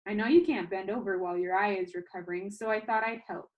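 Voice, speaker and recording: A woman reading aloud the part of a kindly but firm old grandfather in a storybook, plain-spoken and gently insistent. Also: mid-range voice, steady tone, fast talker, lo-fi sound, lightly acted. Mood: encouraging.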